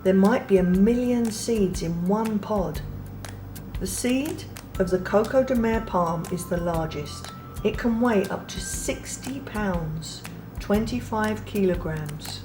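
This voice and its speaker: A woman reads a children's picture book aloud, taking her time and letting soft pauses settle between phrases. Her voice sits at an ordinary middle pitch, and clear music plays under the whole reading. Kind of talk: read-aloud storybook narration. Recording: read over clear background music.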